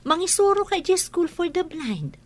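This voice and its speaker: A woman playing a gentle mother and teacher in a radio drama, speaking in an encouraging way. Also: very high voice, sing-song, normal speed.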